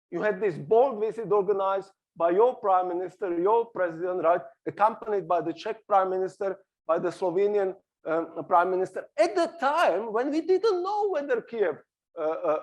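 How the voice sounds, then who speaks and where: A middle-aged man from Slovakia with a Slovak accent, arguing his points on a discussion panel and hesitating often. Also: high-pitched. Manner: argumentative, hesitant with many fillers. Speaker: panel speaker. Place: small hall on a stage.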